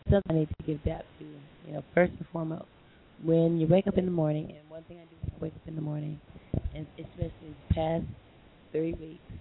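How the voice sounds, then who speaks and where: A hoarse woman hosting a live call-in internet radio show, chatting in a rambling way with many fillers and restarts. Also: sits low. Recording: lo-fi phone line with faint hiss.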